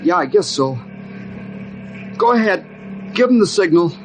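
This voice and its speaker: Resolute male climber in peril, high pitch, old radio adventure, clear background noise. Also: expressive, unhurried. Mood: tense, hopeful. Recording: noisy old radio recording.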